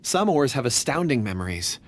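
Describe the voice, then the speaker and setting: A man playing a modest, gentle fellow in a video game, polite, earnest and a little tentative. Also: medium pitch, big pitch swings, a little fast.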